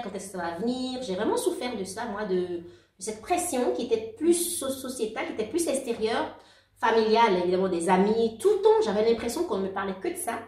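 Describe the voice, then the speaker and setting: A woman in her forties speaking as a guest in a casual interview, warm and halting, often restarting and laughing softly. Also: a little high. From outside Belgium. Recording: roomy, distant mic.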